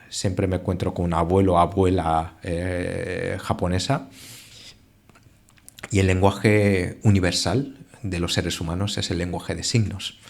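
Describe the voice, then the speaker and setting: A man's voice: low voice, creaky, a little mellow. A man whose first language is Japanese, speaking as a guest in a podcast interview, thoughtful, with frequent hesitations and restarts. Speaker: translator and Japan expert guest.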